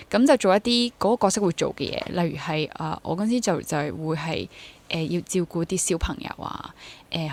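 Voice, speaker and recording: A woman in her twenties with a Hong Kong Cantonese accent, talking casually and reflectively as an interview guest and mixing in English words. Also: lowish voice. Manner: mixes English words into speech. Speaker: interview guest, stage actor and drama teacher.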